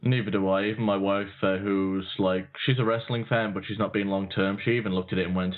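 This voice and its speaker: A man's voice: sits low, steady tone, brisk. A man co-hosting a podcast, giving blunt, profane opinions in casual wrestling talk. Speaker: podcast co-host. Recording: tinny phone-like line.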